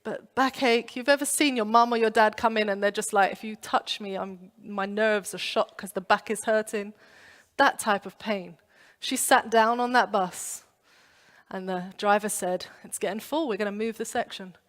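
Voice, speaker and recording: Woman, pastor, animated sermon, mid pitch, echoing hall. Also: moderate pace. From London. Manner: animated storytelling with acted-out inner voices. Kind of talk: youth sermon. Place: church.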